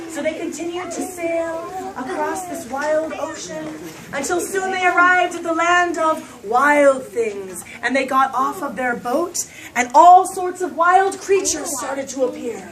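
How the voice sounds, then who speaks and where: A high-pitched woman tells a story to young children with theatrical emphasis. She is some way from the mic in an echoey room, with a crowd of kids around her. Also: far from the mic. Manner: dramatic, theatrical, drawn-out emphasis. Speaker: storyteller leading a puppet show for young children. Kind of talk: live children's storytelling with puppets.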